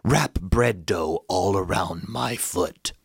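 A man voicing a sly big bad wolf from a storybook, speaking slowly and low. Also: vocal fry, slightly rough, no echo, studio quality, full character voice. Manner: slow, low, menacing.

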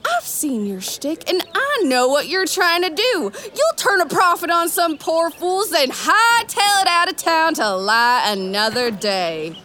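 Bold old-west gunslinger, blunt, bright high voice, lively. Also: female voice, measured pace, few pauses, slight background noise, heavily acted. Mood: scornful. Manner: blunt, challenging, says what she means. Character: blunt, fearless woman gunslinger. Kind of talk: musical radio drama acting.

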